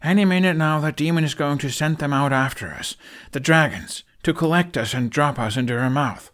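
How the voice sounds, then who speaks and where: A man voicing an anxious, commanding young dragon rider in an audiobook, with short, sharp delivery. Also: on the high side, a little fast, voice pushed up, close to an everyday voice. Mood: urgent, grim. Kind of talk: audiobook character acting.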